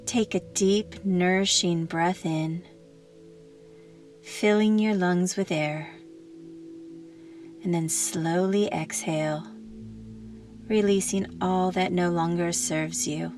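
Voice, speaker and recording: Slow, soothing woman guiding meditation. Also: on the low side, steady tone, soft background music. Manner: gentle, soothing, unhurried instruction with long pauses. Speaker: meditation guide. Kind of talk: guided meditation narration.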